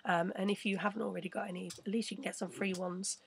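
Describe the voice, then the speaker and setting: A woman hosting a casual live stream, chatting and rambling with a croaky voice. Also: medium pitch, steady tone, fast, slight background noise. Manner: chatty, rambling, reads viewer messages. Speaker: crypto channel host.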